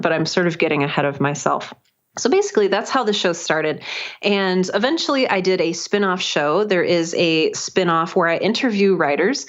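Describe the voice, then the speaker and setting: A woman's voice: on the low side, muffled recording. A woman speaking as a podcast guest, explaining her own work in an earnest, chatty, conversational way. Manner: earnest, chatty, often laughs while talking.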